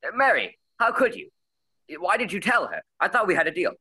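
A man's voice: high voice, very animated, a little fast, pushed and bright, breathy edge, exaggerated voice. A man acting a hesitant, agitated party guest in a mystery radio play.

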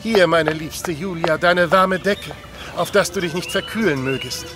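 An actor playing a doting, eager, jokey suitor in an audio drama, with a gushing, playful manner. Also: male voice, a little high, a little slow, over music, natural voice. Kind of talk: German audio drama acting.

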